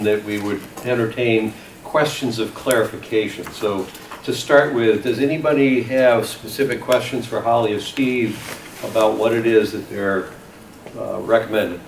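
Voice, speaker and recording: A man chairing a town committee meeting, speaking in measured phrases with frequent restarts. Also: mid-range voice, steady tone, a little hiss or hum. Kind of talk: public meeting moderation. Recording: echoey meeting room from far off.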